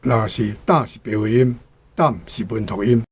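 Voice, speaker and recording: A man speaking as a guest, explaining the meaning and pronunciation of a word in an unhurried way. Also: lowish voice, darkish tone, slightly rough. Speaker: guest commentator on language. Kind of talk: explanatory talk.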